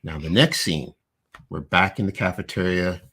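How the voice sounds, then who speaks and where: A man co-hosting a live-streamed podcast, recapping a show episode in a loose, animated way with lots of restarts and "you know.". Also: low voice, moderate pace, breathy edge. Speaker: podcast co-host.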